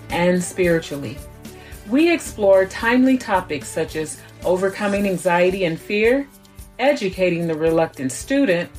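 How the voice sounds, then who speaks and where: A woman speaks as a show host in a mid-pitched voice. Her delivery is encouraging and enthusiastic, suited to introductions and interviews. Soft music sits faintly behind her. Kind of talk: scripted show intro and upbeat interview chat.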